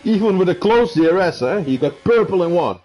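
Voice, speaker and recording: Dutch-accented male co-commentator, mellow tone, halting delivery with fillers. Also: sits high, expressive. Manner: hesitant, many fillers. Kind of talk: casual sim racing commentary.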